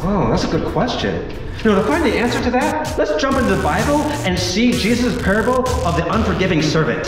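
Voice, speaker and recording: A man's voice: sits high, expressive, brisk, echoey room, in a large hall, music in the background. A middle-aged man co-hosting a children's Bible show on a colorful studio set, cheerful and exclamatory. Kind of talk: upbeat kids' TV hosting.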